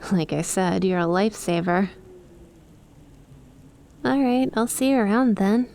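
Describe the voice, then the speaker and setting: A woman's voice: medium pitch, a little slow, creaky, a little mellow, a little hiss or hum. A woman playing an affectionate young snake-girl best friend in an ASMR roleplay, teasing and chummy as she talks to the listener. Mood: upbeat, friendly.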